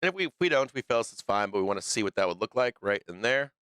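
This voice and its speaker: A man's voice: on the low side, sing-song, racing, a bit bright, clear, resonant tone, dry recording, clean studio sound. A man hosting a live stock market stream, losing his voice, thinking aloud through the charts in a loose way with fillers and restarts. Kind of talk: live trading commentary over charts.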